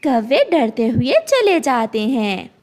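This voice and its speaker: A woman's voice: on the high side, big pitch swings, slow pace, darkish tone. A woman narrating a scripted cartoon story as the storyteller.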